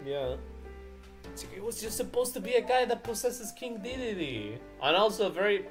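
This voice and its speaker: A man's voice: high voice, big pitch swings, normal speed. A man hosting a live reaction stream, chatting casually and animatedly with friends. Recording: music playing behind the voice. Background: background music.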